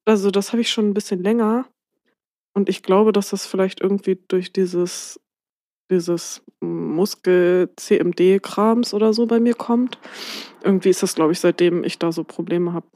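A woman's voice: mid-range voice, fairly even intonation, a little slow, dark tone, no echo. Young woman, bit nasal from a cold, trails off a lot, dry close sound. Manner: hesitant, trails off mid-sentence. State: slightly stuffy, nasal from a cold. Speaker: podcast co-host. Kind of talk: casual chat.

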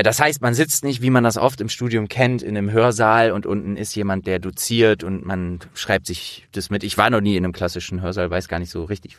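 A man's voice: on the low side, no echo, studio quality. A man hosting a casual interview, talking informally and explaining things as he thinks aloud. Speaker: interviewer and host.

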